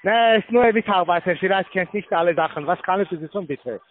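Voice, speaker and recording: A man working as a finance agent on the phone, speaking haltingly in broken, non-native German phrasing. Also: high-pitched. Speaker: finance department agent at a call centre. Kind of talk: phone sales talk. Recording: tinny narrow phone line.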